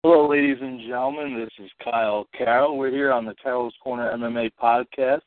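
A man with a stuffy, congested voice hosting a casual call-in podcast, talking in halting bursts full of fillers and restarts. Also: mid-range voice, steady tone, dry recording. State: stuffy from allergies and a cold. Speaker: podcast host. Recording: tinny dry phone line.

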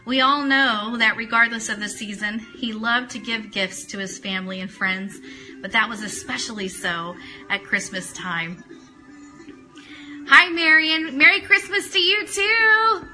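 A very bright, forceful woman's voice, pitched fairly high, hosts a casual live talk show. She is chatty and enthusiastic as she addresses viewers and reads aloud. Music plays loudly behind her. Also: lively intonation, unhurried, rough recording. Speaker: host of an Elvis talk show. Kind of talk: casual live-stream chat with some reading aloud. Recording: music playing in the background. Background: Christmas CD music.

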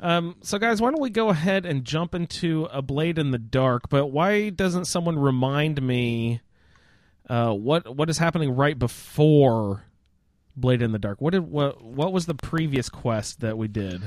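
A man's voice: a little high, animated, unhurried, a little mellow, no room sound. Man hosting, dry close recording. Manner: steers the group with questions. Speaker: podcast host moderating a roundtable. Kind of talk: casual group chat about a video game.

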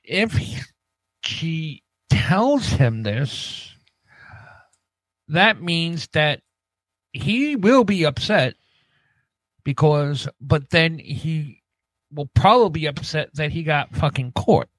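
A man's voice: a little high, lively intonation, slow, long pauses, no echo, low-quality recording. A man hosting a podcast in casual chat, musing hesitantly as he thinks things over. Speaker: podcast host.

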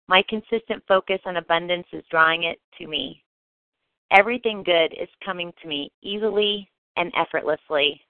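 A woman reciting affirmations for a guided meditation in measured, declarative sentences. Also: lowish voice, no room sound. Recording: dull, close, dry recording.

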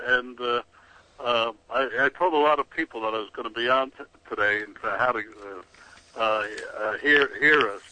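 A male reporter speaking as a guest on a radio talk show. He hesitates, stops and restarts his sentences often as he works toward a point. His voice is bright and sharp. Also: mid-range voice, slight background noise, low-quality recording. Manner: halting, hesitant, full of restarts.

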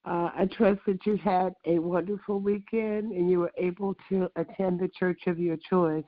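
A hoarse, congested speaker leading a morning prayer call with a measured, repeated litany and some fillers. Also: mid-range voice, steady tone, no echo. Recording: tinny phone line, very gravelly.

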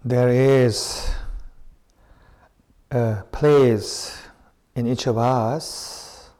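A man leading a guided meditation as a teacher, speaking in short, unhurried phrases with long pauses between them. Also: mid-range voice, fairly even intonation, warm tone. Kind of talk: slow guided meditation with spoken reflections.

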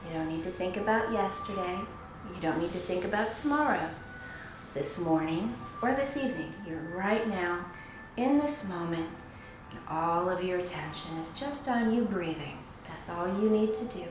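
A woman leading a guided meditation in a soothing, unhurried manner, pausing between phrases. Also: lowish voice, noisy background. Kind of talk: guided meditation, slow instruction. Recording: very echoey room with music. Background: meditation music.

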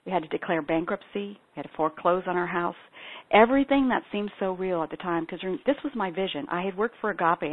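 Earnest woman, tinny phone-like sound. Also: lowish voice. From Ethiopia. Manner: earnest, heartfelt storytelling. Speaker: spiritual practitioner and singer-songwriter. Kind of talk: personal testimony talk.

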